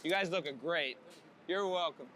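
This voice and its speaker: An energetic, upbeat man chatting casually and candidly as a street photographer out at work. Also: fairly high pitch, moderate pace, a crowd in the background. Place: outdoors on a city street. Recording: clear urban street noise, traffic. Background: city ambience and vehicles.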